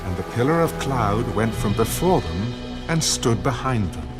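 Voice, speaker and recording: A man narrating scripture in a solemn, measured, dramatised storytelling style. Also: mid-range voice, sing-song. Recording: loud music behind the voice. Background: loud dramatic background music.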